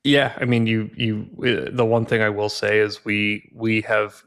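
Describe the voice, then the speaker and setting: Podcast guest, male: low gravelly voice, even delivery, patient legal explainer. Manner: measured, methodical, legal explanations. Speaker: guest from a gun rights legal group. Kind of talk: explaining litigation in a podcast interview.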